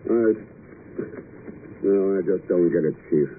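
A man's voice: deep voice, slow. Determined male spy hero, understated delivery, vintage radio drama, narrow noisy recording. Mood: puzzled. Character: thoughtful, determined male secret agent. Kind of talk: 1950s radio acting.

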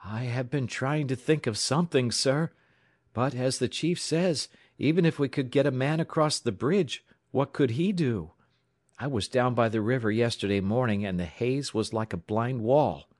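A man's voice: medium pitch, normal speed, no echo, studio quality, pitched above the performer's own voice, close to an everyday voice. A man voicing an earnest, resourceful young telegraph operator, eager and respectful, in an audiobook story. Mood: worried.

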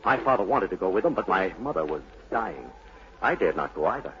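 Haunted, brooding low-voiced son. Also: male voice, big pitch swings, a little fast, fairly bright tone. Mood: bitter, intense. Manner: dramatic, insinuating, intense. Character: haunted, brooding son of a mad sea captain. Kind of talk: 1940s radio acting. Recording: muffled, hissy 1940s radio broadcast.

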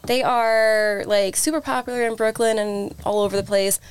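A woman's voice: fairly high pitch, fairly even intonation, moderate pace, clean tone, dry recording. A woman hosting a podcast in casual chat, enthusiastic, often saying "super" and "like". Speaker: podcast host.

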